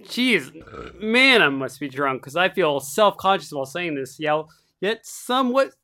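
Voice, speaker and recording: A young woman co-hosting a casual chat, animated and talkative, often laughing. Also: fairly low pitch, unhurried. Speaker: podcast co-host.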